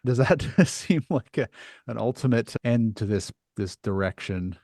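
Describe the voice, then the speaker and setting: A man's voice: fairly low pitch, lively intonation, unhurried, darkish tone, no echo, studio quality. A man hosting a casual interview, thinking aloud and phrasing his questions tentatively. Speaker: podcast host, interviewer.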